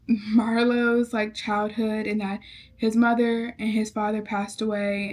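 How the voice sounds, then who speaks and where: A woman's voice: fairly high pitch, darkish tone. A young woman casually recapping a novel she is reading, chatty and rambling, with lots of fillers. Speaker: book vlogger.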